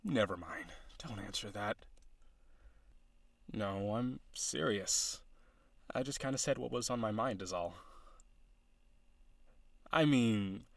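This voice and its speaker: Man playing awkward villain on a blind date, lowish voice, dry close recording, hesitant, businesslike, conversational audio roleplay. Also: gravelly, no echo, full character voice. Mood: flustered, defensive. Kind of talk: audio roleplay addressed to the listener.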